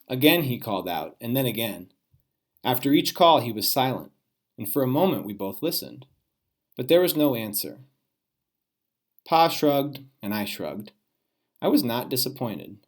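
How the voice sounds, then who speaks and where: A man's voice: medium pitch, sing-song, moderate pace, pauses often. Man reading a children's storybook aloud.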